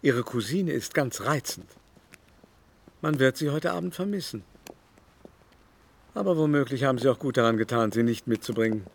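An actor playing a teasing, gossipy society gentleman in a literary radio drama, with an arch, mannered, exclaiming delivery. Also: mid-range voice, expressive, normal speed, vocal fry, pauses often. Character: teasing, gossipy, affected male society dandy.

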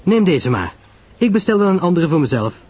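A man playing a blunt, commanding husband with a quick temper in a mystery audio drama. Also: sits high, sing-song, a little mellow, breathy edge, voice pushed up, exaggerated voice. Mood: brisk.

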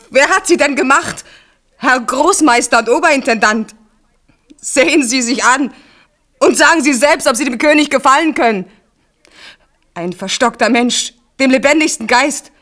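An actress playing a proud, scornful royal mistress in a radio drama, speaking formally with cutting haughtiness. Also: female voice, sits high, a bit bright.

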